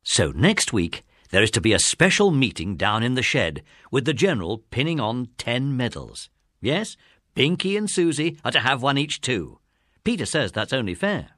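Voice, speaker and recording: A British man narrates a children's story with very animated, swooping intonation. His voice sits at a middling pitch, recorded close and dry. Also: moderate pace, no room sound.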